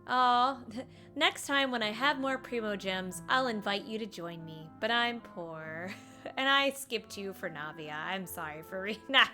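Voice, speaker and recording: A woman giving casual, expressive and playful reaction commentary as she streams a game. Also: medium pitch, measured pace, over music.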